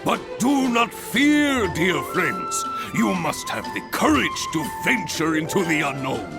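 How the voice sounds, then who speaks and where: A seasoned guild master from a game, jovial and theatrical. His fairly high voice swings hugely in pitch as he boasts, taking his time over music. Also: very animated, unhurried, heavily acted. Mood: grandiose, amused. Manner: hearty, grandiose, theatrical. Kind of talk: video game character acting.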